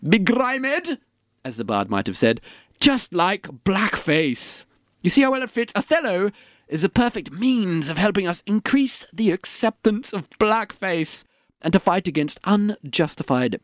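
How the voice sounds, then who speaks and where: Man acting pompous male thespian, highish voice, dramatic swoops, declamatory, oblivious, radio satire. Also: no echo. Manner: pompous, declamatory, throat-clearing.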